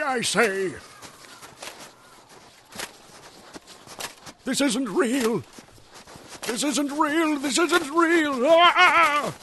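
A man's voice: very high-pitched, sharp tone, a little hiss or hum, full character voice. An actor playing a terrified hunted man in a radio drama, panicked and pleading as he cries out. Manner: panicked, crying out. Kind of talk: melodramatic radio drama acting.

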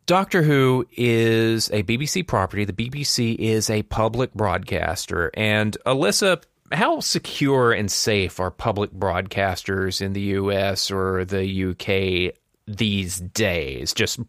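A man's voice: lowish voice, expressive, slow, a bit bright, dry recording, clean studio sound. A man hosting a podcast, talking through news in a chatty, explanatory way and putting questions to a co-host. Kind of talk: conversational news commentary.

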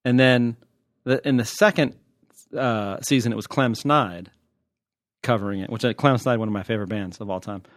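An American man talks as a podcast host, loose and unscripted. He wanders off on tangents and jokes irreverently, with a medium-pitched voice. The sound is dry and close, with very little room. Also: moderate pace, dry recording. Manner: irreverent, joking, digressive, mildly profane. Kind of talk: casual, unscripted podcast chat.

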